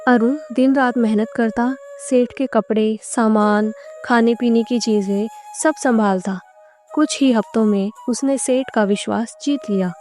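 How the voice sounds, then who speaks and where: A female storyteller delivers scripted narration. Her voice is rather high yet very mellow, with background music playing under it. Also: a little slow.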